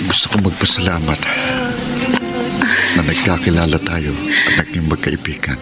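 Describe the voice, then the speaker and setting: Deep-voiced brooding Filipino lovelorn man. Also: steady tone, normal speed. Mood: heartsick, pleading. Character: brooding lovelorn man torn over his estranged wife. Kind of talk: Filipino radio drama acting. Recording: radio drama with loud music underneath.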